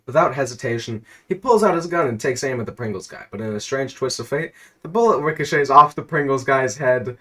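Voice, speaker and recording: A man reading a silly, absurd story aloud in a deadpan narrating voice, now and then cracking up. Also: mid-range voice, a little fast, hardly any pauses. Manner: deadpan narration, breaking into laughter.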